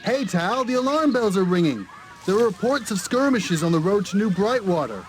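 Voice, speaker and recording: An actor playing an urgent male soldier bringing reports in a fantasy game, brisk and informal. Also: high-pitched, animated, music in the background. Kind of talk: dramatic fantasy game voice acting.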